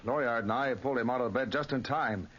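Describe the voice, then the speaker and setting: A man's voice: medium pitch, fast, fairly bright tone, natural voice. An actor playing a loyal, earnest male friend and rescuer in a 1940s radio drama. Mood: relieved. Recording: slightly noisy, muffled old radio recording.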